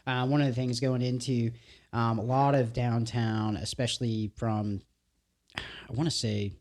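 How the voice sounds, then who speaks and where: A man's voice: medium pitch, level tone, a little slow, darkish tone. Male podcast host, easygoing, informal, a bit rambling. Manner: laid-back, says dude, restarts a lot. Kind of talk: casual interview chat.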